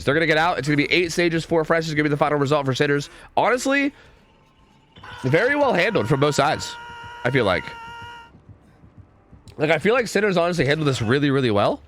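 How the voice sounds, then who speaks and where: A young man with a stuffy nose casting a live game tournament from his home stream, excitable, swearing and full of fillers. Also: mid-range voice, slight background noise. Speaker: esports caster.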